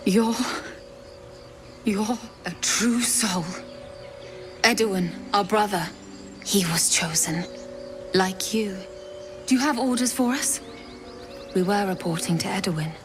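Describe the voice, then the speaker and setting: A devout, wary cultist sister in a fantasy game, acted by a woman, speaking slowly and intensely at a medium pitch. Music plays clearly behind her. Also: natural voice. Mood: grave, reverent. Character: wary, devout female cult recruit, protective sister.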